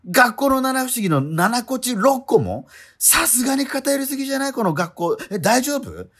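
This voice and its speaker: Incredulous schoolboy straight man, swooping pitch. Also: sing-song, fairly bright tone, heavily acted. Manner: incredulous, quibbling, rapid retorts. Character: exasperated male schoolmate, the straight man of a comedy duo. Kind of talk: comedic radio drama dialogue.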